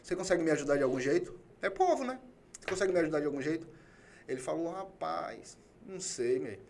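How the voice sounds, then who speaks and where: A man's voice: a little high, lively intonation, fast, darkish tone. A man from Salvador with a Brazilian Bahian accent, hosting a casual conversation in an emphatic, colloquial way and acting out quoted dialogue. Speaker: podcast host.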